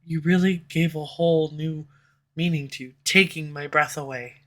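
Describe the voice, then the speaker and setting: A woman voicing a sharp-tongued, tsundere female villain who swears and speaks sarcastically, recorded close on a studio condenser mic. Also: sits low, steady tone, normal speed, close to an everyday voice. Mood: confessional. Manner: sarcastic, swears, turns confessional. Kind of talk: audio roleplay. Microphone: studio USB condenser mic.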